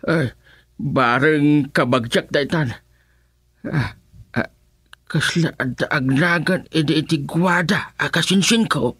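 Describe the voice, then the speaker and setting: A man acting a hopeful, plaintive villager seeking relatives in a radio drama, with a husky voice and an Ilocano accent. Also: on the high side, slow, long pauses, fairly bright tone, no echo, studio quality, full character voice.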